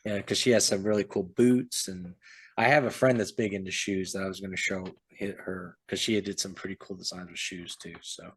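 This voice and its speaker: A man's voice: low-pitched, steady tone, brisk, husky, dry recording. A man, an author co-hosting a podcast, chatting casually and rambling, often trailing off with "so". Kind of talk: casual chat.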